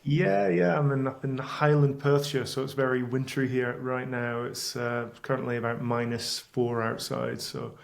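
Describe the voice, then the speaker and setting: A man's voice: medium pitch, level tone, moderate pace, gravelly, few pauses. A man in his thirties with a Scottish accent, talking casually as a podcast guest and rambling a little with restarts. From Scotland. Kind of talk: casual interview chat.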